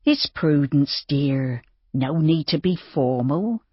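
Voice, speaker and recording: A warm-hearted, bustling farmer's wife who fusses over her guests, played by a storybook reader. She talks in a raised, brisk voice with a breathy edge. The sound is dry and a little dull. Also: medium pitch, lively intonation, no echo. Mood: motherly, brisk.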